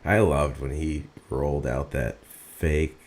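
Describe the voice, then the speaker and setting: A man's voice: a bass voice, fairly even intonation, slow pace, a crowd in the background. A man co-hosting a casual talk show, laid-back, laughing often and swearing. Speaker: podcast co-host. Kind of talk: casual chat.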